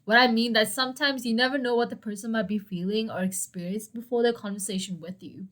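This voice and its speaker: A young woman with a hoarse, dry voice hosting a solo educational podcast, chatty and prone to self-deprecating asides. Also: on the high side, fairly even intonation, fast talker, fairly bright tone. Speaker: podcast host.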